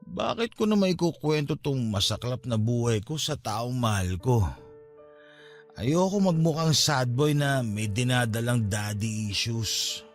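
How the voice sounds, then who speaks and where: A Filipino actor in a radio drama playing a drunk, self-pitying young boyfriend who feels neglected, with groggy delivery. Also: male voice, medium pitch, animated, unhurried. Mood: bitter, hurt. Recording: music behind.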